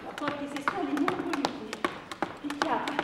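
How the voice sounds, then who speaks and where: A woman delivers a theatrical recitation in a high voice, phrase after short phrase. She is heard from far off in a heavily reverberant space, with loud music behind her. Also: animated, normal speed. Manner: piling up short phrases like a list. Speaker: stage actress.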